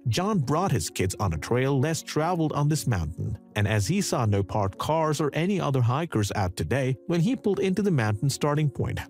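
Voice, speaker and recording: A man narrating a dramatic true story in a scripted voice-over. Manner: dramatic storytelling with animated pitch. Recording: narration over background music.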